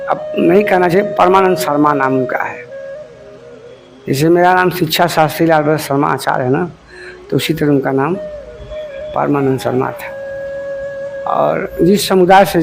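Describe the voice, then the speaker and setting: High-voiced middle-aged man giving a rambling devotional talk. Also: fairly even intonation, moderate pace, gravelly, long pauses, over music. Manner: rambling, repeats himself, often addresses listeners as friends. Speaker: spiritual teacher explaining devotional songs. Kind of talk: informal devotional talk to viewers.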